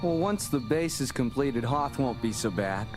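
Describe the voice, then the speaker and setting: An actor playing a young, earnest rebel starfighter pilot in a space adventure audio drama, with a boyish, keen manner. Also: male voice, mid-range voice, lively intonation, normal speed, over music, natural voice. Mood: calm, assured.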